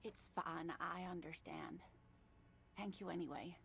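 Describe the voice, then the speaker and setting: An actress voicing a kindly, chatty elderly woman with a raspy voice who is worried about her missing husband, in a video game. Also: lowish voice, sing-song, unhurried, dry recording, heavily acted. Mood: polite. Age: older. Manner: gentle, polite, chatty.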